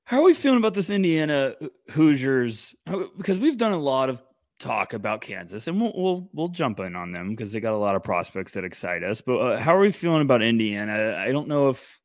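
Animated man, casual sports podcast co-host. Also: mid-range voice, a little fast, no room sound, clean studio sound. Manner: energetic, animated, rambling with restarts.

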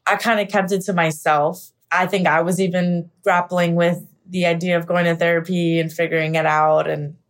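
Young American female guest, candid personal storyteller, conversational, slightly low register. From Pennsylvania, United States. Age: young adult. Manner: conversational, frequent "like" and "you know". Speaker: podcast guest, actor.

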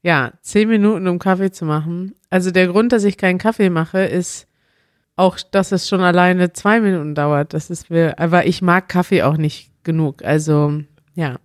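A woman's voice: lowish voice, moderate pace, dry recording, studio quality. A woman co-hosting a podcast in casual chat, chatty and laughing often. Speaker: podcast co-host.

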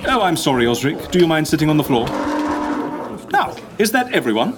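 A high-voiced, fussy, flustered host. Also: male voice, sing-song, fairly quick, noisy background. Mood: flustered, welcoming. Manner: hesitant, fussing, politely effusive. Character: fussy, genial male host, flustered and polite. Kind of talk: comic audio drama dialogue.

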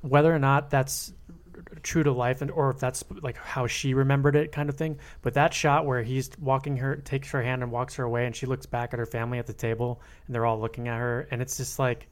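A man in a casual film discussion, speaking earnestly and haltingly, often repeating words as he goes. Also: medium pitch, flat delivery. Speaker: podcast panelist.

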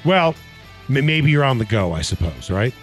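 A man hosting a sports radio talk show, blunt and passionate, with a loud voice, in casual banter with his co-hosts. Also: medium pitch, very animated, moderate pace, soft background music. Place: radio studio.

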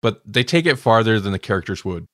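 A man co-hosting a casual review podcast, animated as he talks and voicing reactions. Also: lowish voice, fast, no room sound, studio quality. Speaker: podcast co-host.

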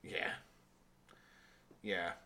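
A man's voice: extremely slow, bright, forceful tone. A man co-hosting a podcast in casual, conversational chat. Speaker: podcast co-host.

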